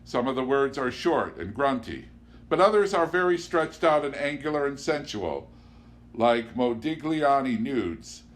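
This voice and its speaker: A man's voice: medium pitch, very animated, voice pushed up. Declamatory, poetic eccentric man, voiced by a male reader. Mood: mysterious, declaiming. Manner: declamatory, drawn-out on key words. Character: eccentric man, grandly poetic storyteller and riddle solver. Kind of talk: audiobook character dialogue. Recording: clear background noise with hum.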